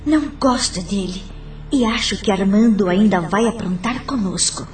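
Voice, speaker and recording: An actress playing an outspoken, protective and distrustful daughter in a radio drama, blunt and insistent. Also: female voice, mid-range voice, moderate pace, a little mellow, faint music behind, lightly acted. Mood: insistent, disapproving. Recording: echoey room, distant mic.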